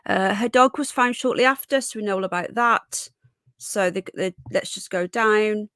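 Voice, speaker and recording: A middle-aged British woman hosts a live stream, reading out news and chatting with viewers in a friendly way. Her voice is croaky and stuffed up from a cold. She sits at a middling pitch, and the sound is dry and close. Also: moderate pace, fairly bright tone, no echo. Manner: chatty, reads chat comments aloud and greets viewers. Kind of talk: casual live stream commentary.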